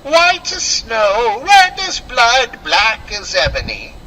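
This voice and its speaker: Wicked storybook queen, played by a man: envious, gloating, theatrical, high-pitched, very slow. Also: huge pitch swings, sharp tone, a little hiss or hum, heavily acted, low-quality recording.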